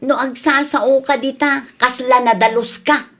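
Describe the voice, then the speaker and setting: A woman's voice: sits high, steady tone, a little slow, sharp tone, exaggerated voice. An actress with an Ilocano Filipino accent playing an indignant, feisty young neighbor woman in a comedy radio drama.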